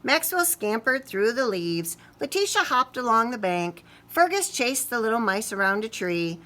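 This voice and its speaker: A woman reading a picture book aloud to young children expressively, pausing to ask them questions. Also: fairly high pitch, sing-song, fairly bright tone. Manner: talks to young listeners, asking questions. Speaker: children's story reader.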